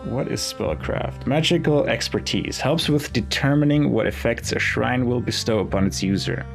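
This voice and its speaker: A man's voice: medium pitch, normal speed, creaky. A man doing casual game commentary, thinking aloud in a musing, hesitant way as he reads game text. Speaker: gaming video host. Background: game music.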